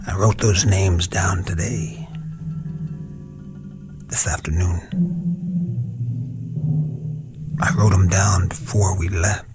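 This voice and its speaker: Casting: a troubled American officer for a war radio play, lowish voice, worn down and brooding. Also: male voice, fairly even intonation, over loud music. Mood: haunted, shaken. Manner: terse, brooding, halting. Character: weary, troubled young male army officer, haunted. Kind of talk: radio war drama dialogue.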